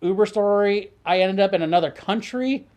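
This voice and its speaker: A man's voice: high-pitched, normal speed, dull audio, noisy background. A man chatting casually as a talk show guest, telling stories in a laid-back, sardonic way with plenty of swearing. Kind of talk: casual chat, storytelling.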